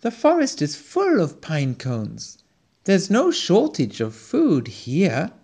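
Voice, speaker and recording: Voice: mid-range voice, huge pitch swings, slow, darkish tone, slightly rough, pitched above the performer's own voice, full character voice. A storyteller voicing Kyomsum, a small earnest traveller on a quest who speaks kindly and helpfully. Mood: reassuring. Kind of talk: storybook reading.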